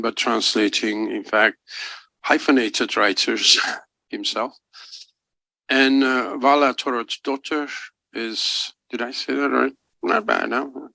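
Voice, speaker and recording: Slow, gravelly man with a low, bright edge; halting introducer at a panel. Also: no room sound, rough recording. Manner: halting, many "uh" pauses. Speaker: event introducer. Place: university symposium event.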